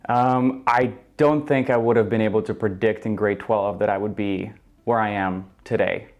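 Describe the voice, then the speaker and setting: A man in his twenties from Ukraine, answering interview questions earnestly and thoughtfully, with frequent pauses and ums. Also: on the low side, fairly even intonation, darkish tone, lo-fi sound, faint music behind. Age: 20s. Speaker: guest. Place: indoor studio.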